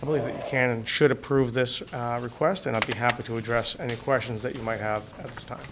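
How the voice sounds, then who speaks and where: A man speaks as an attorney before a town planning board, his voice hoarse from a cold. He lays out figures in a measured, methodical way with frequent fillers. The public-access recording carries clear background hiss. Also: medium pitch, fairly even intonation. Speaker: attorney presenting an application. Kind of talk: formal presentation to a town board. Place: town meeting room.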